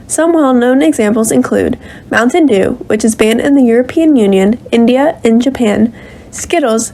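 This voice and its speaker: A woman narrates a student presentation from a script. Her voice is a bit high yet very mellow and relaxed in tone, as she reads out information plainly. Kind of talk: scripted narration, reading lists.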